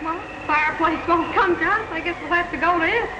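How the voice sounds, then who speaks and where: A woman's voice: very high-pitched, a little fast, background noise. An actress playing a playful, chatty young woman sleuth in an old film. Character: playful young woman detective, romantic bride-to-be. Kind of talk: 1930s film dialogue.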